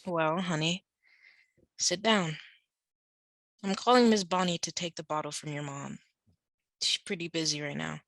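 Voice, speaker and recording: A woman's voice: low-pitched, steady tone, a little slow, pauses often, fairly bright tone. A woman playing a kindly, reassuring middle-aged prison guard in a film script table read. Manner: soothing wording, calls listener honey.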